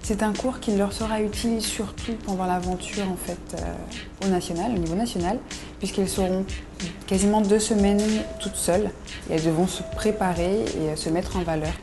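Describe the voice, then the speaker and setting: A woman organizing a pageant who explains its lessons in a measured way and often pauses to hesitate. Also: medium pitch, fairly even intonation. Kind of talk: explanatory interview commentary. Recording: music bed underneath.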